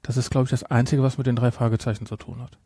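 A man hosts a casual podcast in a mid-pitched voice. He speaks haltingly and often corrects himself. The sound is dry, with no room on it. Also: little pitch movement, warm tone, husky, no echo. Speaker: podcast host.

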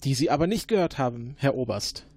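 A man in an improvised role-play, questioning formally and probingly as an investigator, often halting mid-sentence. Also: mid-range voice, animated, darkish tone, no echo. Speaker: player acting as investigating agent.

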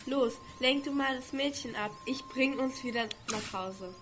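Bright-voiced young woman acting a curious schoolgirl. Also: sits high, measured pace, slight background noise. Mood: flustered. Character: curious, quick-witted schoolgirl time traveller. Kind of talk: amateur youth radio play.

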